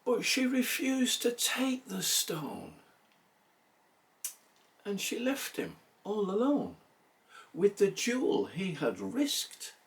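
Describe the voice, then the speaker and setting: A man recites a narrative poem in a theatrical style, recorded a little way off from the microphone. He takes his time, leaving pauses between phrases. His middle-pitched voice rises and falls widely as he goes. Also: huge pitch swings, creaky, far from the mic. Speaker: poetry reader.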